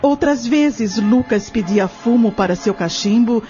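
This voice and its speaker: A woman's voice: fairly high pitch, measured pace, mellow, close to an everyday voice. A woman with a Brazilian accent playing a composed farm wife who answers politely and firmly as a witness in an audio drama. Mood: polite, assured. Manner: polite, firm, formal answers. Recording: dull audio with music underneath.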